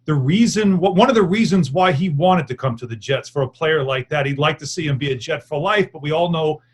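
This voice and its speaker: A man with a somewhat higher-pitched voice hosts a sports discussion. He talks casually, as a radio host does when leading into a question. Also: brisk, slightly rough.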